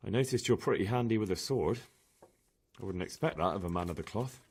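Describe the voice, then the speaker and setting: An actor playing a direct, questioning young man with a husky voice in a medieval video game. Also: lowish voice, fairly quick, darkish tone. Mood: probing. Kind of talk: dramatic video game dialogue.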